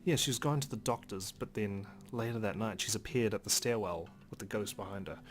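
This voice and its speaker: Low-voiced man reading a game aloud. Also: brisk. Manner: expressive reading with wry asides. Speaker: let's play host. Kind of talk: reading a visual novel aloud. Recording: faint game music behind.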